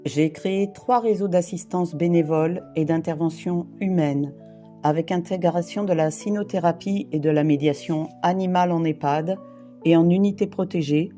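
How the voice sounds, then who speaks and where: A woman in her fifties from the Paris region, reading a personal testimony in a measured, formal way. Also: fairly low pitch, steady tone, warm tone. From France, Île-de-France. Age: 50s. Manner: measured, formal, list-like delivery. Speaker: brief therapist and volunteer rescuer. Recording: guitar music behind.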